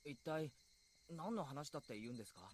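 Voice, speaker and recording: A man's voice: on the high side, animated, quick pace, darkish tone, a little hiss or hum, close to an everyday voice. An actor playing a curious, impatient teenage boy in a visual novel, brash and insistent. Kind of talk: Japanese visual novel voice acting. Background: faint music.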